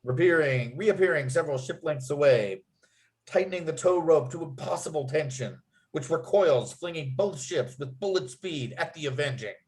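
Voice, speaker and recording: A man's voice: a little high, normal speed. A man reading out screenplay scene headings and action as the narrator of a script table read. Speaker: narrator and writer.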